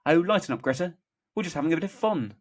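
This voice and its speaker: Man putting on a smirking man, sarcastic, flippant, slightly high, comedy sketch. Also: animated, quick pace. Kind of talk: comic script read-through.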